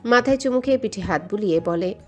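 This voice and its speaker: Casting: female narrator for scripted romantic fiction, mid-range pitch, music bed underneath. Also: animated, quick pace, a little mellow. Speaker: story narrator.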